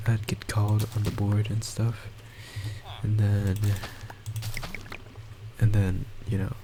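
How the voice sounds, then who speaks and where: A young man speaks in a quiet, low, soft voice, slow and nearly monotone. He hesitates and circles back on himself, with fillers in nearly every sentence. His boosted desktop mic also picks up a clear hiss and keyboard typing. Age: young adult. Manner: hesitant, halting, many restarts and fillers. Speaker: college student. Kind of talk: rambling personal monologue. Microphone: desktop microphone with boosted gain.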